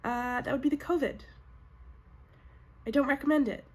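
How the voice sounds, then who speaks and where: A woman's voice: sits high, fairly even intonation, normal speed, a little mellow. A young Canadian woman hosting a knitting vlog from home, chatty and upbeat, sounding nasal and sniffly from a cold. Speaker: knitting podcast host. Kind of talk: casual chat to camera. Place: home room with bookshelves, indoors. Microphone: camera in front at desk distance.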